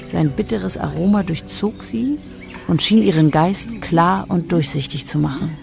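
A woman narrating a scripted radio drama, with a creaky voice. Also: fairly low pitch, a little slow, a little mellow. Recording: music behind the voice.

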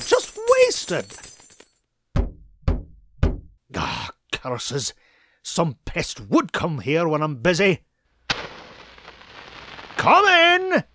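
A man's voice: sits high, fairly bright tone, a little hiss or hum, heavily acted. A man voicing a cranky, miserly old male duck in a cartoon comic dub, scolding in fussy, old-timey exclamations. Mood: grumbling, irritated.

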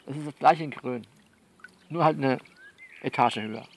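A man playing a frank, worldly coach who talks a female client through things by phone in a blunt, coaxing, colloquial way with repeats and pauses. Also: mid-range voice, vocal fry, slight background noise, close to an everyday voice. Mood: calm, coaxing. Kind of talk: improvised-sounding one-sided phone monologue in an audio novel.

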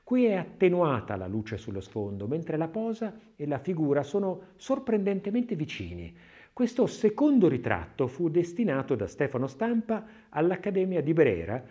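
Expressive male audiobook narrator, very varied intonation. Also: a little high, moderate pace. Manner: expressive, literary reading. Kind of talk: scripted dramatic audiobook narration.

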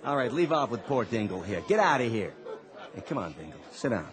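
A man's voice: medium pitch, very animated, fairly quick, a crowd in the background, natural voice. An actor playing a genial, streetwise male bar owner who talks colloquially in a radio drama. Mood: consoling. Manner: colloquial, chuckling.

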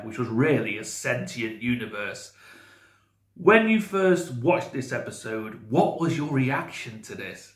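A man in his forties hosting an interview, reading out scripted questions from a desk at home. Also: medium pitch. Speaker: interviewer host. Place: home room with Christmas decorations. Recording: echoey room.

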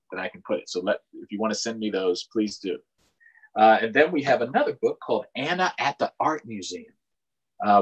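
A man's voice: on the low side, rough recording. A middle-aged man casually chatting to children and families as the host of a storytime on a video call. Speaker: county councilmember hosting children's storytime. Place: small furnished room indoors, on a video call. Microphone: video call microphone.

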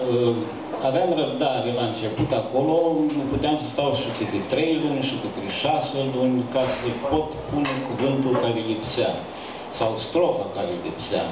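An older man and poet telling stories at a reading, slow and halting, with many pauses and restarts, recorded through a handheld mic from a distant camera in a small cafe. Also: medium pitch. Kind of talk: reflective storytelling at a reading. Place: small cafe room, indoors. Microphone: handheld mic, recorded by a far camera. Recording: distant echoey room, dull lo-fi, audible hiss.

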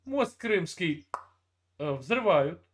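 An older man with a notably high voice lectures and scolds in a heated video chat. He talks into an earphone cable mic from a room at home, often cutting in to finish his point. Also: big pitch swings, extremely slow, fairly bright tone, slight background noise. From Russia. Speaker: video chat channel host. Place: indoor room, video call.

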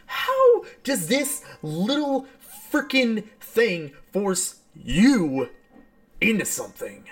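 A man's voice: high voice, wildly swooping pitch, drawn-out words. A young adult man reading a visual novel aloud as a streamer, with casual, slangy, exclamatory reactions. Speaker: let's play streamer. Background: background game music.

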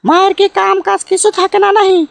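A woman's voice: very high voice, little pitch movement, fast talker, creaky, heavily acted. A woman voicing a callous, irritable cartoon village mother with a curt, scolding Bogura dialect from northern Bangladesh. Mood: irritated. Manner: scolding, curt rural delivery. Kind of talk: amateur comedy cartoon dubbing.

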